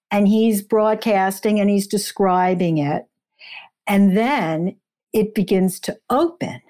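Slow-paced woman explaining as interview guest. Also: medium pitch, warm tone. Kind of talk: conversational explanation and storytelling.

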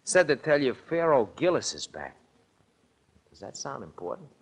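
Lively, breezy sidekick. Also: male voice, medium pitch, moderate pace, husky, low-quality recording. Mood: curious, breezy. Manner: breezy, inquisitive. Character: casual, curious male sidekick of a detective. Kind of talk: 1940s radio acting. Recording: vintage 1940s radio recording.

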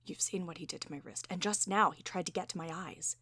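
Vengeful woman in a storybook tale, acted by a female narrator: low, hushed, breathy, grimly insistent. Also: quick pace, no echo. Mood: secretive urgency. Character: exasperated, vengeful woman, grimly set on killing.

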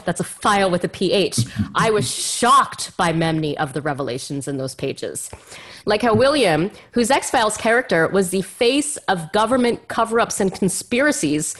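Lady podcast host, really animated pitch, gushy emphatic delivery. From Canada. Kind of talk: scripted podcast introduction and interview.

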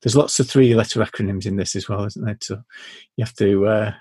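A man hosting a podcast, talking in a dry, measured, deadpan way and reading game rules aloud. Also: lowish voice, slightly rough, no room sound. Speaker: podcast host.

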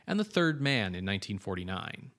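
Low-voiced guy reading film trivia on a podcast, prepped notes, chatty asides. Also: big pitch swings, brisk, a bit bright. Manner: informative, with casual fillers and asides. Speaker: podcast co-host.

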